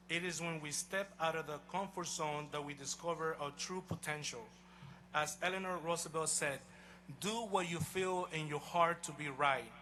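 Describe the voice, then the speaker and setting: Bright-voiced man with a Honduran Spanish accent. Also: fairly high pitch, slight background noise. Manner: measured, emphatic address to a crowd. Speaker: college president. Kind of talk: commencement speech. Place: outdoor stadium.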